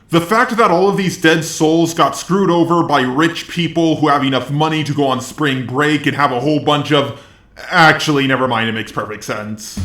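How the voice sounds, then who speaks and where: A man's voice: fairly high pitch, fairly bright tone, roomy sound. A man's synthetic robot voice narrating a scripted review with sarcastic, profane asides. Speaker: video reviewer.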